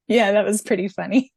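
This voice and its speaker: A woman's voice: medium pitch, vocal fry, darkish tone, husky, no room sound, clean studio sound. A woman co-hosting a podcast in a casual, chatty conversational style. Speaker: podcast co-host and audiobook narrator.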